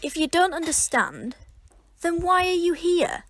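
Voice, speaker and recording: An actress playing a prim, easily flustered club organiser in an audio drama, speaking in a brisk, clipped, humourless way. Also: female voice, very high voice, very animated, a little hiss or hum, exaggerated voice. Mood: stern, annoyed.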